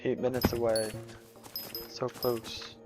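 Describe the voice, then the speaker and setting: Guy streaming a game, kinda tired, deep voice, lots of "like", music going in the background. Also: takes it slowly. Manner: relaxed, rambling, lots of "like" fillers. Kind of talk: casual gaming livestream chat.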